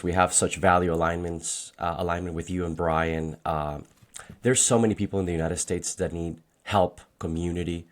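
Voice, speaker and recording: A man around forty speaks in a deep, low voice with a Colombian accent, a native Spanish speaker. He talks conversationally as a guest, in the manner of personal storytelling. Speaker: podcast guest, financial agent.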